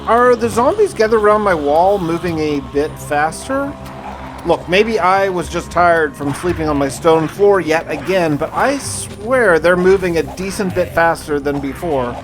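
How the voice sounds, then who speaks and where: A man narrating a survival game playthrough in a conversational, first-person storytelling way, as a roleplaying video host. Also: high voice. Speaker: gaming video host and player. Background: music.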